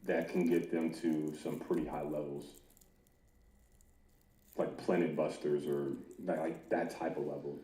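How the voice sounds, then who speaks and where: A man's voice: a bass voice, little pitch movement, unhurried, long pauses, dark tone. A man hosting a podcast in casual, slangy chat, often saying bro. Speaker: podcast host. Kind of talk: casual chat about anime. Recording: roomy echo with background noise.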